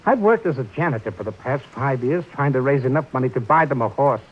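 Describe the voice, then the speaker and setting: An actor playing a guilt-ridden, meek older ex-horse trainer in a vintage radio drama, talking earnestly and pleadingly. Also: male voice, animated, fast, close to an everyday voice. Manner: earnest, pleading, jumpy pitch. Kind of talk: 1940s radio acting. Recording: dull lo-fi old radio broadcast.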